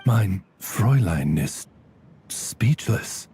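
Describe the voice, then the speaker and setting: Casting: eloquent, deferential game familiar, measured delivery with theatrical swings, light music behind. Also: male voice, fairly low pitch, big pitch swings, dark tone, slight background noise, heavily acted. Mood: earnest. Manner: formal, polite. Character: courtly male familiar, deferential, eloquent servant to a young lady. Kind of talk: video game character acting.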